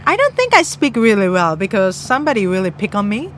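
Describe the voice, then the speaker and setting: A woman's voice: medium pitch, wildly swooping pitch, fast talker. Female co-host, native Japanese speaker with a Japanese accent, bubbly and teasing, a slight hiss of noise behind her. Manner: bubbly, laughs often, teasing. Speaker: podcast co-host. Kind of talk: casual chat.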